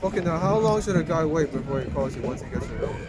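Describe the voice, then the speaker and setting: A man's voice: fairly high pitch, animated, quick pace, background noise. An American man casually interviewing someone with short, informal prompting questions. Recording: loud music over the voice.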